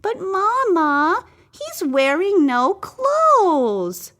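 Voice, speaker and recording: A woman voicing an innocent, bluntly honest small girl in a storybook, calling out in a drawn-out, childish way. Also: very high voice, big pitch swings, a bit bright, voice pushed up, full character voice. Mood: innocent, puzzled.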